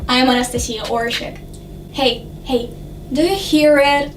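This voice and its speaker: A woman's voice: high voice, fairly even intonation, measured pace, reverberant, far from the mic, a little hiss or hum. A young woman with a Russian accent, earnestly explaining English pronunciation tips step by step to the camera. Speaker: English learning vlogger. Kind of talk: scripted to-camera advice. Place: dim room with lamp and globe.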